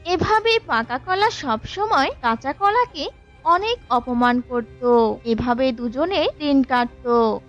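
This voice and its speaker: Slow, high-voiced woman storyteller. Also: expressive, lo-fi sound, soft background music. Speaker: story narrator. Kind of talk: scripted children's story narration.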